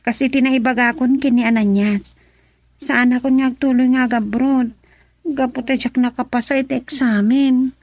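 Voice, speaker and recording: An actress with an Ilocano accent playing a gentle, apologetic young woman troubled by conscience in a radio drama. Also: sits high, steady tone, moderate pace, no echo. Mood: reassuring.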